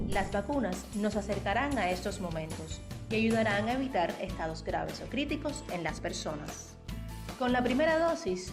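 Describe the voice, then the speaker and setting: Female public service announcer, mid-range voice, scripted narration over clear music bed. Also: medium pitch.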